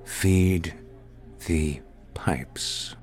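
A man voicing a sinister, calm and menacing male waiter in a horror story, hushed and sneering. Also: mid-range voice, slow, soft background music, pitched above the performer's own voice, full character voice. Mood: menacing whisper. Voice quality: hushed, whispery. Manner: calm, sneering threats that rise to shouts.

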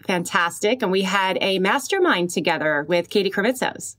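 A woman's voice: mid-range voice, expressive, moderate pace, a bit bright. A woman with a Long Island New York accent hosting a podcast in an enthusiastic, chatty way. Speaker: podcast host. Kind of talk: upbeat podcast introduction and interview.

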